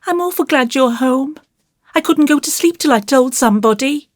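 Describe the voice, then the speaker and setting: A woman's voice: sits high, expressive, normal speed, no echo, clean studio sound, voice pushed up. A woman voicing an earnest, naughty but penitent little boy in an audiobook, pleading and blurting in childlike, colloquial speech. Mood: miserable, confessing. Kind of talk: audiobook character voice.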